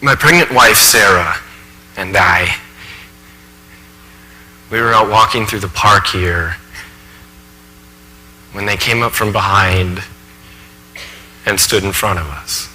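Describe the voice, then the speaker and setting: A man performing a dramatic stage monologue as an earnest, anxious thirty-year-old college professor, confiding in halting, emphatic asides. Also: lowish voice, sharp tone, full character voice. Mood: haunted, hesitant. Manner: conversational asides, halting, emphatic, self-questioning. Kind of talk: dramatic monologue for speech competition. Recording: echoey hall, faint background noise.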